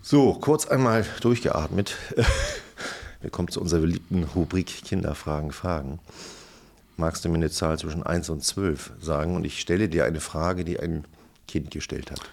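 Man, low creaky voice, informal podcast host, casual interview talk, rambling questions. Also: big pitch swings, moderate pace, a little mellow.

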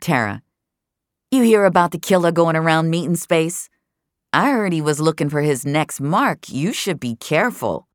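A woman's voice: deep voice, animated, moderate pace, dry recording, voice pushed up. A woman voicing a slangy, insistent young female friend who gossips and frets in an audio story. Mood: worried.

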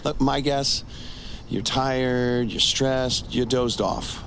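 An actor playing a calm, dismissive emergency responder in an audio drama, casual, patronizing and matter-of-fact. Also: male voice, medium pitch, slow, a little hiss or hum. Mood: dismissive, reassuring.

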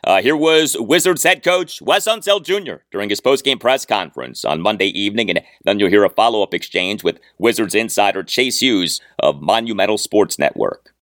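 A man's voice: fairly low pitch, expressive, normal speed, fairly bright tone, no echo, studio quality. An American man hosting a sports podcast, delivering scripted commentary in an emphatic, detail-heavy way.

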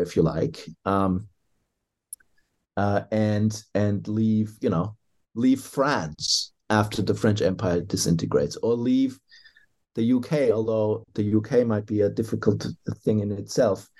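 A man with a low, mellow voice talks through his ideas as an interview guest. He hesitates often, circles back and restarts phrases as he builds long careful answers. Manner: thoughtful, hesitant, many fillers and restarts. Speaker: author and historian guest.

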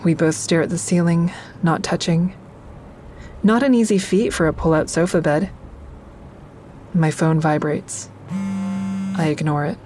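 A woman's voice: on the low side, a little slow, pauses often, dark tone, a little hiss or hum, natural voice. An actress playing a guarded, wry woman who narrates her troubled romance in an intimate, confiding audio drama style. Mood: uneasy, guarded. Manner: confiding, dry, introspective.

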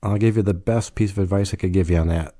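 A man appearing as a guest expert on a radio travel show, answering questions in a steady voice with dry, deadpan humor. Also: deep voice, fast, no room sound.

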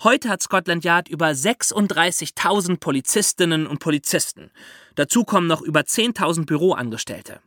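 A man's voice: on the high side, fairly bright tone, no room sound, studio quality. A man hosting a podcast, explaining things conversationally and addressing young listeners directly. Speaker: podcast host.

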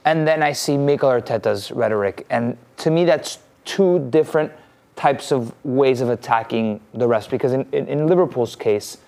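A man's voice: medium pitch, moderate pace, a little mellow. A man hosting a podcast discussion, asking questions and building to emphatic points. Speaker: podcast host.

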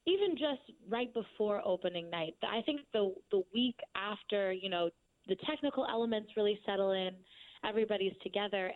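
A woman's voice: medium pitch, dry recording. Woman, sincere actress, reflective, phone-quality audio. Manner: earnest and reflective. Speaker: stage actress. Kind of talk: casual interview chat.

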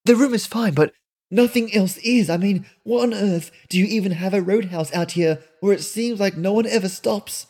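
A man's voice: high-pitched, normal speed, a little mellow, voice pushed up. A man reading aloud and voicing an anxious young woman stranded at a roadside inn, pressing with questions. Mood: anxious, demanding.

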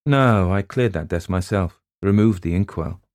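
A man voicing a brisk, matter-of-fact middle-aged female schoolteacher in a read story. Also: low-pitched, a little mellow, dry recording, clean studio sound, lightly acted.

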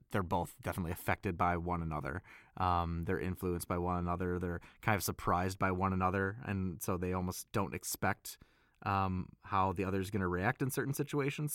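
A man chatting casually as an interview guest and voice actor, informal and halting as he thinks aloud. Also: deep voice, fairly bright tone, smooth, no echo, studio quality.

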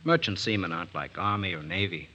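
An actor in a 1940s radio drama playing an easygoing but guarded farmhand, casual and evasive. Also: male voice, deep voice, animated, fast talker, bright, forceful tone. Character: easygoing hired hand, secretly an escaped prisoner, guarded. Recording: dull 1940s radio broadcast recording.

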